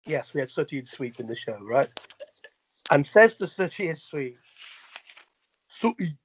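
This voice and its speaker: A man's voice: fairly high pitch, sing-song, unhurried, muffled recording, slight background noise. A middle-aged Welsh man chatting casually as an interview guest, telling anecdotes and checking that the listener follows. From Wales.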